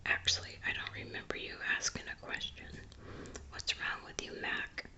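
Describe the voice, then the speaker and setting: A woman's voice: normal speed, lightly acted. A woman reading a storybook in a whisper, voicing a folksy, teasing, matronly small-town postmaster.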